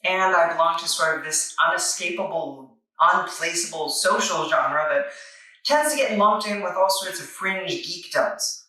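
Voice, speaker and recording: Bright, echoey voice of a playful reviewer. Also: mid-range voice, measured pace. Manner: playful, tongue-in-cheek. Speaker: host of a comic book review show. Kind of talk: casual scripted review with asides. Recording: echoey, distant microphone, music underneath. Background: music.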